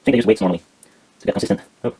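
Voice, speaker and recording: Low-pitched man thinking aloud. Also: warm tone. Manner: halting, thinking aloud while working. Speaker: DIY maker demonstrating a build. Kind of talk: casual hands-on workshop narration.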